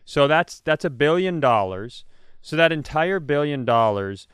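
A man's voice: a little high, expressive, unhurried, a bit bright, smooth, dry recording, studio quality. A man co-hosting a comedy podcast, chatting casually and rambling enthusiastically through comic ideas.

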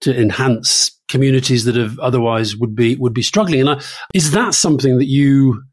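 A man's voice: mid-range voice, normal speed, breathy edge, no room sound. Chatty, teasing man in a dry room. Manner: chatty, teasing, repeats words while thinking. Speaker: podcast co-host. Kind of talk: casual political chat.

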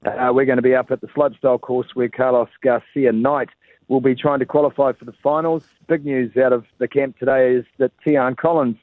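A man's voice: medium pitch, steady tone, fairly quick, no echo. Kiwi guy calling in on a phone line, chatty, lots of uhs, sounds tinny. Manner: conversational, frequent "uh" fillers. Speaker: reporter on the phone. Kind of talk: live phone cross to a radio show. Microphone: telephone.

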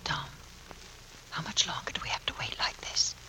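A woman's voice: moderate pace, bright, forceful tone, whispered, soft background music. A woman playing a stubborn, devoted young Navy nurse in a 1940s radio drama, earnest and insistent. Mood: hushed, pleading. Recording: noisy 1940s radio broadcast recording.

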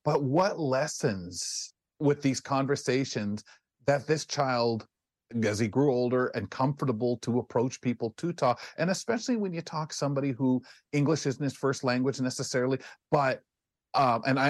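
Male Canadian radio host, mature, mid-pitched, discursive and conversational, dry sound. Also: normal speed, no echo. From Ontario, Canada. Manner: rambling, musing, acts out snippets of imagined dialogue. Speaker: talk show host. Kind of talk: casual radio panel discussion.

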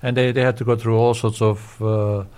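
An older man from Syria explaining history as an interview guest, haltingly, searching for words and repeating himself. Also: lowish voice, flat delivery, a little mellow.

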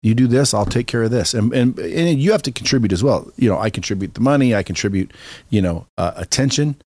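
A man's voice: mid-range voice, lively intonation, fast talker, darkish tone, no echo. A man talking casually as a podcast guest and entrepreneur, blunt and self-assured, with a salesman's way of putting things and some mild swearing.

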